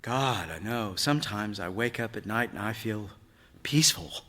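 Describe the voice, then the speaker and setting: A man voicing a gentle, weary widowed father who speaks tentatively and tenderly, in a short story read on stage. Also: on the low side, animated, normal speed. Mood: wistful, confiding. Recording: reverberant hall, live stage reading.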